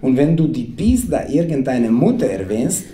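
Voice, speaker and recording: A man's voice: medium pitch, sing-song, moderate pace, mellow. Wry man reading comedy on stage. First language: Serbian. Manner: wry, playful storytelling with punchy delivery. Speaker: author reading from his book. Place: small club stage with a seated audience. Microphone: stand mic at a table. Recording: roomy club with audience noise. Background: audience laughter.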